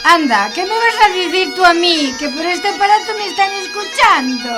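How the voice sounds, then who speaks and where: A woman's voice: very high voice, lively intonation, normal speed. An actress playing a scoffing, sassy woman in a comic radio play. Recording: loud music over the voice.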